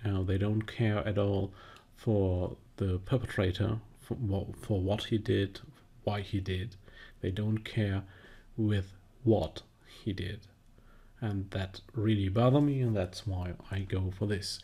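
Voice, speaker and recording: Non-native English-speaking man, low gravelly voice, halting delivery, commentary vlog host. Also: steady tone, warm tone. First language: not English. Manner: halting, many fillers and restarts. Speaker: video commentator. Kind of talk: casual spoken commentary.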